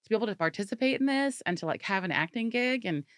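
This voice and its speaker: A woman's voice: on the high side, lively intonation, quick pace, fairly bright tone, no room sound, studio quality. A woman comedian from the California Bay Area, chatting animatedly in an interview and laughing often. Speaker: comedian guest. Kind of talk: casual interview chat.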